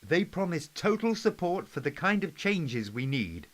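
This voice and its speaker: A man's voice: a little high, sing-song, measured pace. A man playing a polite, folksy British Prime Minister in an amateur play, chatty and a little hesitant as he gives a public address. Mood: conversational. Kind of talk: amateur play script performance.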